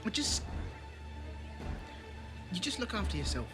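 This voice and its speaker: A man's voice: sits high, big pitch swings, a little slow. A man playing an anxious, caring companion in a fan audio drama. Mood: worried. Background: music.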